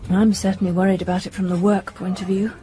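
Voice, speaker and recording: An actress playing a sensible, friendly young English woman in a radio drama, polite and gently teasing. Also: medium pitch, level tone, fast talker, close to an everyday voice. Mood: wry, friendly. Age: young adult.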